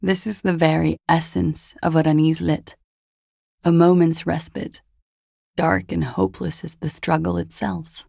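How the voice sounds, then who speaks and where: A woman's voice: sits low, little pitch movement, measured pace, creaky, warm tone, no room sound, clean studio sound, exaggerated voice. An actress voicing a world-weary, guarded, seductive woman in a video game, with languid, hesitant, wry delivery. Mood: wistful, wry. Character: world-weary, guarded, seductive disco-dancer woman recounting a dead lover. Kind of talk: video game dialogue acting.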